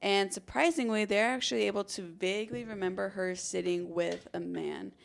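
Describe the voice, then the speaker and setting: A woman's voice: mid-range voice, moderate pace, gravelly. A young American woman hosting a podcast, telling a true crime story in an informal, conversational way. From Arizona, United States. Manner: informal, chatty. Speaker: podcast host.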